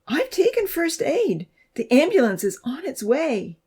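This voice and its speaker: A woman's voice: sits high, wildly swooping pitch, measured pace, a little mellow, voice pushed up, heavily acted. A woman voicing a frantic, tearful teenage girl in an audiobook, pleading and wailing with a shaky delivery. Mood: urgent, pleading.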